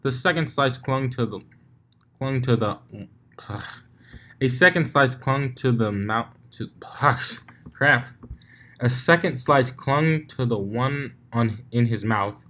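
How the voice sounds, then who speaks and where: A man's voice: medium pitch, slow pace, a bit bright. A man reading fan fiction aloud as narrator, informal and sometimes stumbling over the text. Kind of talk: story narration.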